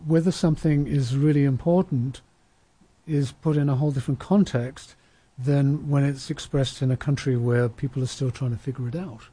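Man with a dark, husky voice. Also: medium pitch, fairly even intonation, moderate pace, dry recording. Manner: thoughtful, anecdotal, with frequent restarts. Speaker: panel discussion speaker. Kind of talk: reflective panel talk.

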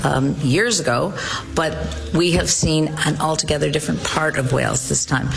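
A woman's voice: sits low, vocal fry, low-quality recording. A woman hosting a radio travel show, upbeat and chatty, who calls her listeners travel buddies.

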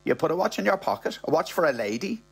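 A man's voice: a little high, sing-song, quick pace, gravelly, breathy edge, soft background music, voice pushed up, full character voice. A man voicing a brazen, scheming woman with a matter-of-fact manner in a comic audiobook story. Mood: feigned innocence. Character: brazen, scheming working-class woman, sweet-talking shoplifter.

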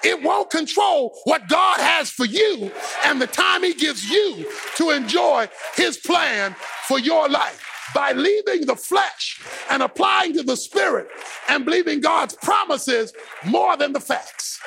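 A pastor preaching a sermon with passion, shouting in a repetitive, sing-song cadence. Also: male voice, extremely high pitch, gravelly.

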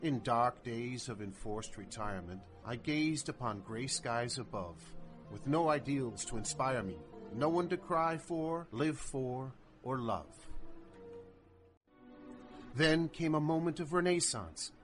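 A man voicing a young husband who recites a love poem slowly and earnestly. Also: medium pitch, lively intonation. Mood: tender. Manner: slow, earnest poetic recitation. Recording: music underneath.

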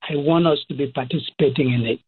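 A man with a Cameroonian accent explaining things as an expert interview guest, deliberately, listing points in short phrases. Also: mid-range voice, slightly rough. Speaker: expert guest, professor and AI researcher. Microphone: phone call. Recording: muffled, thin phone line.

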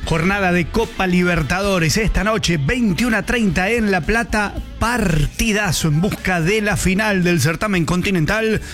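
High-voiced sports announcer. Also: male voice, measured pace, fairly bright tone, faint music behind. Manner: crisp, emphatic announcer delivery. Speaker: sports reporter. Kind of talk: sports news reading.

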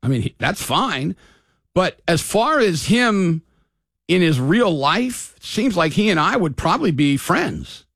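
A man's voice: high voice, sing-song, unhurried, vocal fry, fairly bright tone, no echo, clean studio sound. An older man hosting sports talk radio, rambling and opinionated in a casual way.

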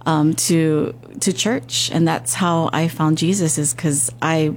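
A woman's voice: low-pitched, fairly even intonation, slow pace, slight background noise. A woman pastor in her fifties from Hawaii, telling her story candidly in a casual interview.

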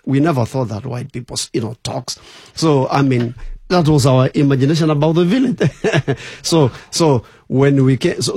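A man's voice: medium pitch, mellow, husky, no room sound. A man from Kenya, a bishop guest on a radio show, telling his life story with many fillers and restarts.

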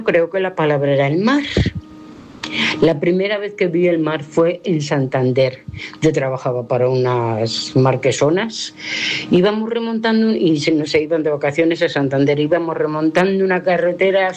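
A woman's voice: sits low, darkish tone. A woman calling a radio show, cheerful and exclamatory as she recalls seeing the sea for the first time. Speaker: caller.

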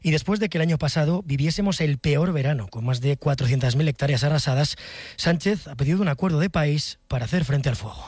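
A man anchoring a live radio news program, reading the news briskly and crisply. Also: a little high, lively intonation, a little mellow, dry recording, studio quality.

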